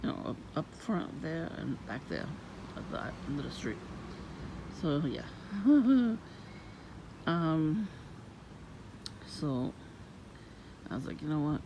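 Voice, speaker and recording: A woman with a low voice, vlogging in a soft-spoken, rambling and suspicious way outdoors near her home. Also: sing-song, takes it slowly, pauses often. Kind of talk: casual rambling monologue. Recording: noisy outdoor ambience.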